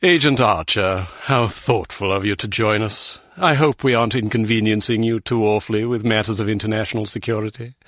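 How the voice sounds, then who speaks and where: An actor playing a haughty, disapproving older spy-agency official in a video game, formal and sarcastic in manner. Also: male voice, lowish voice, brisk, hardly any pauses, a bit bright, no room sound, clean studio sound, close to an everyday voice. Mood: sarcastic, disdainful.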